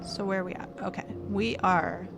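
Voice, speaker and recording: A woman's voice: mid-range voice, fairly even intonation, moderate pace, vocal fry, background noise. A woman streamer playing a game, thinking aloud and reading its text to viewers in casual commentary. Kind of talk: let's play commentary. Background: game music.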